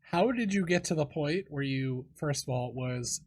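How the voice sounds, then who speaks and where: A man hosting a casual podcast interview, easygoing, with a lower voice and quick affirmations. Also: expressive. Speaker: podcast host.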